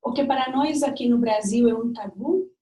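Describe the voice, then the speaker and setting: A Colombian woman speaking Portuguese with a Spanish accent, giving a reflective, personal talk with frequent pauses and restarts. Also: on the high side, dark tone. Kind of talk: lecture-style talk. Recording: distant mic in an echoey room.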